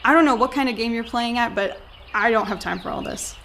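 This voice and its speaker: A woman's voice: fairly high pitch, a little fast, gravelly, fairly bright tone, a little hiss or hum. A woman playing a sarcastic, skeptical young tourist in a radio play. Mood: agitated. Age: young adult. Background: birdsong, woodland ambience.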